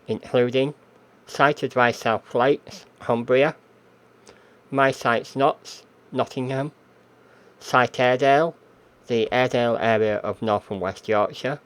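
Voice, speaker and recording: Guy reading an intro script, steady and measured, goes through a list. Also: mid-range voice, low-quality recording. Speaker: announcer.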